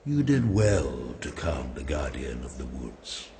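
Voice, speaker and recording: An actor playing an ancient talking oak tree, wise, kindly but stern, speaking in a grave, formal way in an animated fantasy game. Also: male voice, very deep voice, slight background noise, heavily acted. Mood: solemn, benevolent. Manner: slow, grave, formal and deliberate.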